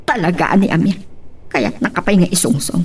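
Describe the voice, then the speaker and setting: An actress with an Ilocano accent playing a sharp, teasing married boutique owner in a theatrical, animated radio drama style. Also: female voice, lowish voice, moderate pace, husky. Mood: teasing, curious.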